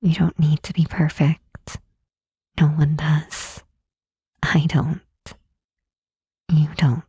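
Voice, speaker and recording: A woman playing a gentle, reassuring demon queen in an intimate ASMR roleplay, speaking softly and tenderly with a breathy, husky voice and deliberate pauses. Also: sits low, little pitch movement, dry recording, studio quality, full character voice. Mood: tender reassurance. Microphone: close mic, dry.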